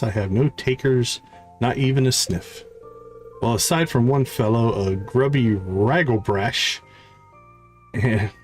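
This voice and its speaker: Man reading as a prim, lively well-bred lady florist. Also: mid-range voice, natural voice. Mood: prim, put out. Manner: formal, old-fashioned, haughty wording.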